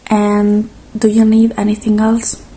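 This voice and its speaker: A woman speaking softly and gently in a halting, reassuring ASMR roleplay, slipping between quiet voice and whisper. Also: medium pitch, completely flat delivery, husky, poor audio. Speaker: ASMR performer playing a robot repair technician.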